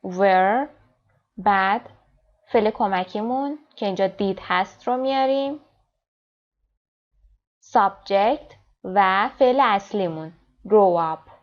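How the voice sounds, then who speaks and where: A woman teaching English grammar, explaining fast in Persian and reading English example sentences slowly and clearly. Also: medium pitch, highs cut off. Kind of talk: grammar lesson.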